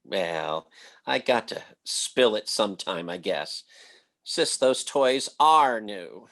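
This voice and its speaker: A man playing a blustering but soft-hearted husband in an old-time radio comedy, folksy, excitable and bumbling. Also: on the low side, slow pace, full character voice. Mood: sheepish.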